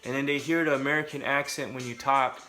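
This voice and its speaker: A man's voice: medium pitch, fairly even intonation, quick pace, pushed and bright, poor audio. A young adult man from California, talking casually and candidly to camera with joking asides. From Southern California. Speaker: vlogger.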